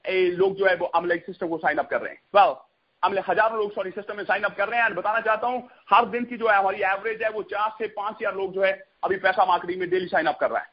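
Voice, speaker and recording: A man with a very high-pitched, husky voice delivers a network marketing pitch. He repeats himself and mixes in English catchphrases. The sound is dull and lo-fi, like a conference call line. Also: steady tone, fast.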